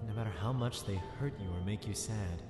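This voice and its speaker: Low-voiced, friendly young lieutenant played by a man. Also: brisk, natural voice. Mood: curious, probing. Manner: conversational, gently probing. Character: reserved but friendly, curious male military lieutenant. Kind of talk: fan sci-fi drama acting. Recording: echoey reverb with music underneath.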